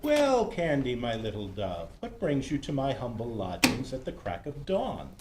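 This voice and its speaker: Casting: male actor as fussy, affectionate arty sidekick in a radio mystery, theatrical, very animated delivery, noisy recording. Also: mid-range voice, normal speed. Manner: theatrical, ornate, coy endearments. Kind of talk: old-time detective radio acting. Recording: roomy, noisy live stage recording.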